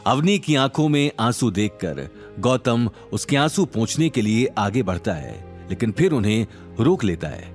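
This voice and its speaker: A man's voice: mid-range voice, very animated, moderate pace, soft background music. A man narrating an audio drama story in a dramatic, scripted storytelling style.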